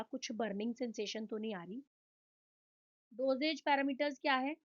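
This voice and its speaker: A woman's voice: fairly high pitch, dull audio. A woman with an Indian accent giving an explanatory physiotherapy lecture, posing questions and answering them in listed points. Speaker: physiotherapy instructor. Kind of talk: explanatory lecture mixing Hindi and English.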